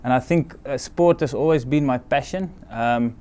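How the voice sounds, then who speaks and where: A middle-aged South African man for whom English is a second language, answering interview questions in a modest, hesitant way with many pauses. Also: mid-range voice, darkish tone. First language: Afrikaans or other non-English language. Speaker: team doctor, guest.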